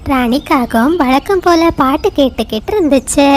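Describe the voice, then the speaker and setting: A woman's voice: high-pitched, lively intonation, normal speed. A woman narrating a scripted moral story for children.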